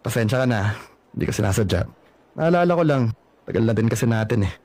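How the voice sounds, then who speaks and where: An actor playing a lonely young man who still loves his ex, wistful and gently probing, in a radio drama. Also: medium pitch, lively intonation, brisk, no echo, lightly acted.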